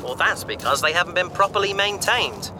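A man's voice: high-pitched, lively intonation, a little fast, over music. A man playing a bookish, enthusiastic space adventurer who explains things chattily in a sci-fi audio drama. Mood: explaining, enthusiastic. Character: male bookish, eager space adventurer and explorer.

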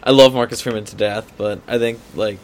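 A man's voice: lowish voice, fairly even intonation, brisk. A man co-hosting a sports podcast in casual debate, often hedging and repeating his points for emphasis.

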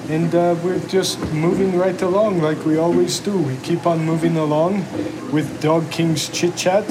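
A man hosting his own show, chatting casually in a rambling, repetitive way. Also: on the high side. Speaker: show host. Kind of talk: casual, unscripted show chat. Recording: loud background noise.

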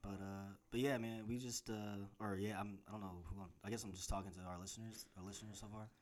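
Laid-back young man, lowish voice. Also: fairly even intonation, quick pace, slight background noise. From Tennessee. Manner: laid-back, rambling, laughs often. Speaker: podcast co-host. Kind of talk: casual chat. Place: apartment in the Bronx. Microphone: handheld recorder mics.

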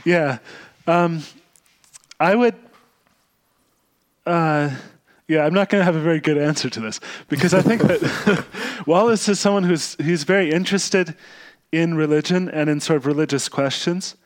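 A man with a slightly higher voice, raised between Austria and the U.S., talks as a guest on a podcast about his own path. He is a monk, and he speaks thoughtfully, often pausing and circling back to find the right word. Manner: thoughtful, searching for words. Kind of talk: podcast interview.

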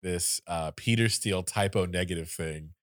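A man with a deep voice chatting casually and animatedly as a co-host, often laughing and breaking into bits of song. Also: moderate pace, no room sound, studio quality. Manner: animated, sings snippets of songs, laughs often. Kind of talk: casual chat.

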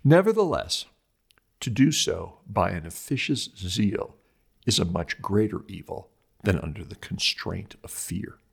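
A man reading an old sermon aloud as a scripted religious commentary, with deliberate, emphatic oratorical phrasing. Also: deep voice, lively intonation, gravelly, husky.

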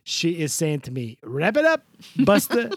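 A man voicing a sentimental, rambling older male host character who adds a stray "a" before words, with a very lively, up-and-down pitch. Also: unhurried, no room sound, clean studio sound, heavily acted. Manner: slips a stray "a" before words, broken grammar, over-the-top gushing. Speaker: podcast host. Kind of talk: comedic in-character podcast bit.

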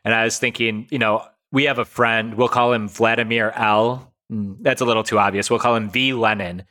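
A man's voice: on the low side, steady tone, normal speed, bright, forceful tone. A man in his late thirties from the United States, explaining his ideas in a thoughtful, casual podcast chat. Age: late 30s.

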